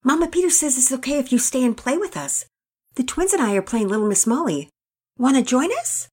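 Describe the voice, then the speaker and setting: A woman voicing a cheerful, eager young girl in an audio story, speaking in a bubbly, excited way. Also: high voice, a little fast, breathy edge, pitched above the performer's own voice.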